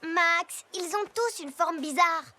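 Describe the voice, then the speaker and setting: A woman voicing a quick, sceptical young child in a cartoon. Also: extremely high pitch, pushed and bright, full character voice. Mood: lively. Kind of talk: French cartoon voice acting. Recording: cartoon soundtrack with clear music.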